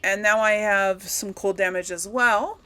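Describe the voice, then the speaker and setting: A woman's voice: mid-range voice, steady tone, unhurried, sharp tone. A woman doing casual game commentary, rambling and thinking aloud as she talks to herself. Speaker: gaming video host.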